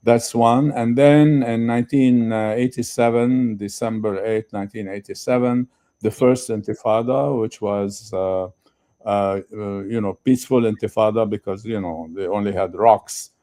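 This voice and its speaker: Older man, halting speech, dark smooth voice. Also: fairly low pitch, steady tone. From Lebanon. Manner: halting, many fillers. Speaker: guest speaker, retired professor. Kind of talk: conference interview.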